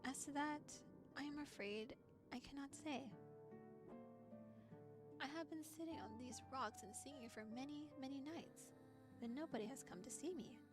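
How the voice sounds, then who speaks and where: A woman's voice: high-pitched, normal speed, pauses often, music in the background, natural voice. A woman voicing a sweet, girlish, lonely mermaid with genteel, formal speech, as read from a visual novel. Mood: wistful, refined. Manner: genteel, formal, effusive phrasing. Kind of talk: visual novel character reading.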